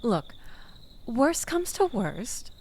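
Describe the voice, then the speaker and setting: An actress plays a manipulative female ex in an intimate audio roleplay. She drawls extremely slowly, teasing and pleading with a very animated melody. Her coaxing is flirtatious and theatrical, over a faintly noisy bed of night crickets. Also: a little high, heavily acted. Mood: wheedling.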